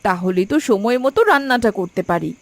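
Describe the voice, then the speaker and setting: A woman voicing a brisk, caring young village wife and mother in a cartoon folk tale. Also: mid-range voice, expressive, close to an everyday voice. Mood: scolding. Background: background music.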